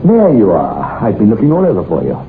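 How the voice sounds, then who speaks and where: A man's voice: fairly low pitch, wildly swooping pitch, quick pace, gravelly, full character voice. A man playing an earnest, insistent young husband in an old radio horror drama, theatrical and emphatic. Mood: affable, affectionate. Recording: tinny, noisy old radio recording.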